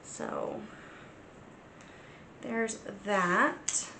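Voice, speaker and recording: A woman with a cold chats slowly through her crafting vlog, stuffy and sniffling. Her voice has a gravelly, fried edge. She keeps stopping on ums and starting her sentences again, with a faint room hum underneath. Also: mid-range voice, reverberant. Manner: unhurried, many ums and restarts. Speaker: crafting channel host. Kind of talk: casual show-and-tell vlog.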